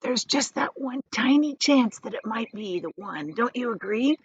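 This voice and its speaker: A woman voicing an excitable, conspiratorial old grandfather in a storybook, with breathy whispering and eager, nervous urging. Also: fairly high pitch, moderate pace, no room sound, dull audio, pitched above the performer's own voice, full character voice. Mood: nervous, hopeful.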